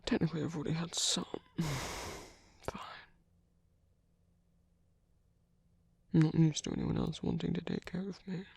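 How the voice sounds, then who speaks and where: A performer voicing a tender reformed villain as a protective lover in an intimate, gentle, breathy ASMR roleplay, speaking slowly in a hushed whisper. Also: medium pitch, dry recording. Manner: slow, gentle, hushed, reassuring.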